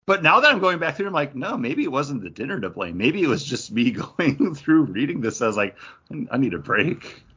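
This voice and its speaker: Uomo dalla voce roca e vivace. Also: male voice, mid-range voice, very animated, a little fast, pushed and bright, breathy edge. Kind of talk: casual comic book review chat. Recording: dull, lo-fi sound.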